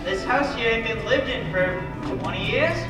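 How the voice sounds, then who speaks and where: A young woman playing gruff, suspicious working men in an old-fashioned radio play. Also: fairly high pitch, lively intonation, normal speed, close to an everyday voice. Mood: wary, baffled. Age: young adult. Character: gruff, mean barkeep and wary cab driver. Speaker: student actor. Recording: echoey distant stage, music behind.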